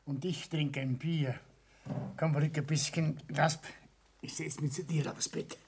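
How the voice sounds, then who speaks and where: An actor playing a money-minded middle-aged builder and father, blunt and dismissive, husky. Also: on the high side, fairly even intonation, unhurried, very noisy. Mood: gruffly familiar. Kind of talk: acted dialogue in a regional satire.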